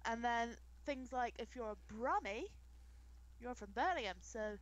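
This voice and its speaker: Giggly high-voiced English woman. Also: animated, measured pace, lo-fi sound, noisy background. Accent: English Midlands. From England. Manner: giggly, chatty, rambling explanations. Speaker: quiz co-host. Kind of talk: casual quiz chat.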